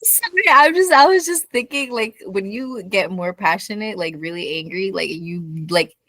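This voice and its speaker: A woman's voice: mid-range voice, lively intonation, moderate pace, bright, forceful tone, no echo. A young woman co-hosting a podcast in casual chat, laughing easily and swearing casually. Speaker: podcast co-host.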